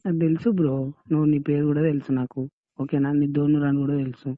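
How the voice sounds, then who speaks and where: A man's voice: a little high, little pitch movement, fast, no room sound, narrow, tinny audio. A young man in a casual phone conversation, questioning and protesting, often saying bro. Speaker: prank call target.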